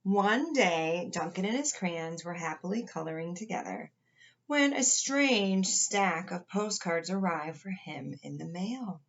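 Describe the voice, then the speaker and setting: A woman's voice: fairly low pitch, expressive, a little slow. School counselor lady doing a kids read-aloud, kinda dull lo-fi audio. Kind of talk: picture-book read-aloud for children.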